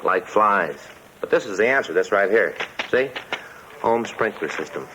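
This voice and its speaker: A man's voice: on the low side, animated, moderate pace, lightly acted. A man acting a talkative, earnest policeman with an American accent, in folksy 1950s radio drama style. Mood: folksy complaining. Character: chatty, earnest homeowner policeman, enthusiastic and easily aggrieved. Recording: muffled old radio recording, faint noise.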